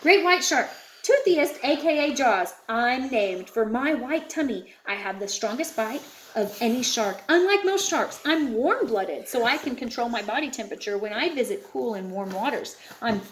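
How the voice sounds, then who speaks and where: A woman's voice: fairly high pitch, expressive, normal speed, slight background noise, natural voice, rough recording. A woman voicing a boastful, cheerful, playful shark from a children's storybook. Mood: proud.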